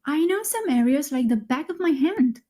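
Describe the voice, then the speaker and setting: A young woman speaking her part in a scripted conversation for English learners, clear and friendly. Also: high-pitched, animated, moderate pace, warm tone. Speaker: speaker in a recorded dialogue.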